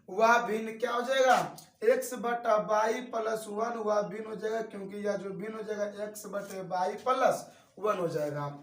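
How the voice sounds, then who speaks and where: A young man in his twenties teaches math with a strikingly high voice. He keeps repeating each step and asking his students questions. He stands back from the mic in a roomy, echoing space. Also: a little slow, fairly bright tone, recorded from a distance. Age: 20s. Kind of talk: classroom-style lesson.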